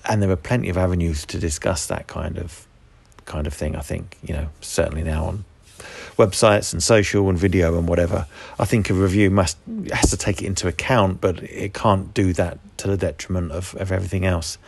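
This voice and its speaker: A man hosting a podcast, talking casually about video games in a measured, thoughtful way. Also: deep voice, fairly even intonation, creaky, breathy edge. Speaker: podcast host. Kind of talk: casual video game discussion.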